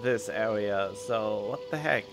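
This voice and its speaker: A man's voice: fairly low pitch, measured pace. A man giving casual let's play commentary as a streamer, with dry, wry asides. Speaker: video game streamer. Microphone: studio condenser mic. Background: faint game music.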